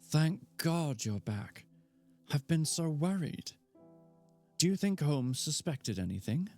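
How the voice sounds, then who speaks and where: A man reading aloud and voicing a worried, well-spoken young Victorian bride in a higher voice. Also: big pitch swings, takes it slowly, a little mellow, faint music behind, full character voice. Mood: relieved, anxious. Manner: worried, earnest.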